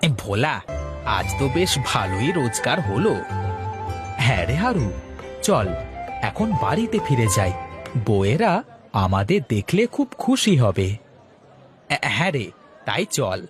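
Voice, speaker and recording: A man's voice: mid-range voice, sing-song, unhurried, pauses often, over music. A man playing a humble, cheerful blind village fish seller in a cartoon, with an eager, simple, folksy manner. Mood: content. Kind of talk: cartoon voice acting.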